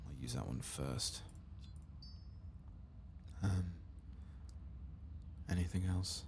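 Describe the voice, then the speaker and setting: Very deep, gravelly, soft-spoken man. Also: extremely slow. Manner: hushed, laid-back, casual. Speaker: ASMR gaming host. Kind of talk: soft-spoken and whispered ASMR game commentary. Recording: clear background noise and game ambience.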